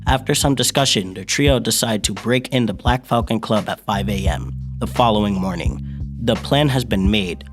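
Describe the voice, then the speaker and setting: A man's voice: fairly low pitch, moderate pace, natural voice. A man as ominous radio mystery announcer over music. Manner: theatrical, ominous. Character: solemn old-time radio mystery announcer. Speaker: narrator and announcer. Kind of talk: scripted old-time radio narration. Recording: music bed behind the voice.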